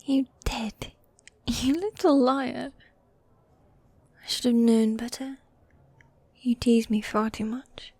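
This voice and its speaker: Woman acting a whispery, slow-spoken gothic demon girlfriend. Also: a little high, fairly even intonation, no echo, full character voice. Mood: playful teasing. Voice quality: breathy. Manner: soft whispery intimate delivery, formal old-fashioned phrasing. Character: gothic demon girlfriend, teasing, tender, dominant yet needy. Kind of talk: medieval fantasy ASMR roleplay.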